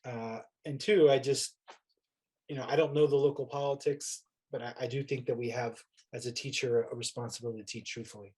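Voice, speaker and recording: A man's voice: medium pitch, steady tone, a little fast, a little mellow, husky. A man who teaches, talking casually as a panel guest, informal and thinking aloud. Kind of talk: casual, unscripted panel talk.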